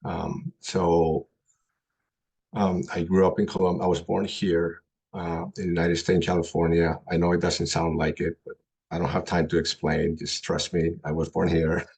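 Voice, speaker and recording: A middle-aged man who grew up in Colombia tells his own story to a group, pausing and restarting often. His voice sits very low and is slightly husky. He speaks in a flat, even tone. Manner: halting, many ums and restarts. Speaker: guest speaker. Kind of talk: personal testimony talk.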